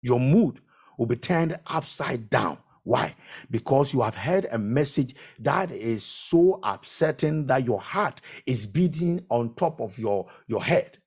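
A man's voice: mid-range voice, lively intonation, takes it slowly, darkish tone, husky. A man preaching a sermon as a preacher, emphatic and exhortatory, repeating phrases to drive them home.

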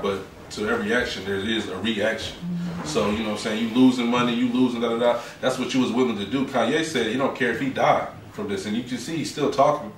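A man with a fairly low voice hosts a casual podcast, circling through his points with plenty of "you know what I'm saying". He sits back from the mic in an echoey room. A faint background hiss lies under his voice. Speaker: podcast host. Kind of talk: casual opinionated chat. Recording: roomy room from a distance.

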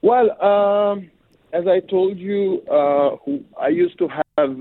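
Man calling in, speaks slowly with lots of ums, thin phone-line sound, dry, slightly high voice. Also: lively intonation, dry recording. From Africa. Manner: halting, many fillers, slow and careful. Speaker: singer and producer guest. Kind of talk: radio phone interview.

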